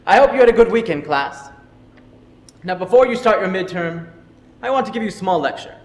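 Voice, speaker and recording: A man playing an earnest, opinionated history teacher who defends his methods, in amateur stage drama. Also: sits high. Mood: lecturing. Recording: echoey hall, faint noise.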